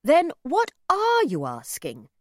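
A woman puts on a haughty storybook mother, stern and suspicious. She speaks curtly and imperiously, with sharp, animated swings of pitch and a measured pace. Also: dry recording, clean studio sound, heavily acted. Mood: stern, impatient.

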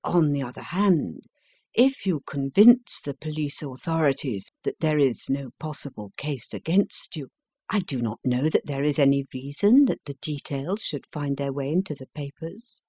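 A composed, courteous detective, read by a woman, speaking in measured, unhurried phrases. Her voice sits low and the recording is dry and close. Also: big pitch swings, mellow, dry recording, full character voice. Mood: calm. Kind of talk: audiobook character reading.

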